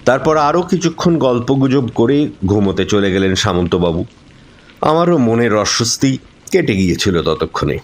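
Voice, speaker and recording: A man's voice: mid-range voice, big pitch swings, moderate pace. A man giving a dramatic scripted first-person narration of a ghost story.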